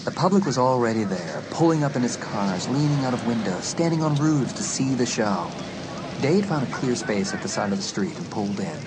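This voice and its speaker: A man narrating a dramatised horror audiobook with suspenseful, deliberate phrasing. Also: medium pitch, expressive, heavy background noise.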